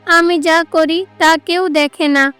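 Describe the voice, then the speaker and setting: A woman's voice: very high-pitched, fairly even intonation, slow, fairly bright tone, faint music behind, pitched above the performer's own voice, full character voice. A woman voicing a hurt, sulky young boy god in a cartoon, plaintive and earnest.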